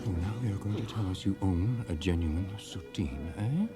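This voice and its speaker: An actor playing a brusque, sceptical and excitable art dealer in a radio drama, condescending and prone to fluster. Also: male voice, low voice, moderate pace, over loud music, close to an everyday voice. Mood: mocking. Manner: emphatic, condescending, stammering when flustered.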